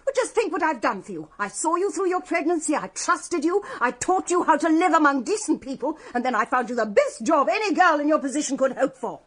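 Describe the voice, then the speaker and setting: Prim refuge matron, English accent, very high voice, swooping intonation, stern, self-righteous, radio drama. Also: female voice, very animated, brisk, hardly any pauses. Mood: righteous outrage. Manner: stern, moralising, indignant. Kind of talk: British radio drama acting.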